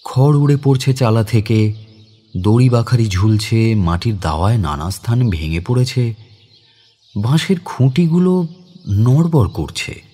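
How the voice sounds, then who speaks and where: An actor playing a mild, educated man near forty who narrates his memories in a dramatised literary audio story, in a reflective, expressive way. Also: mid-range voice, a little slow, long pauses, husky.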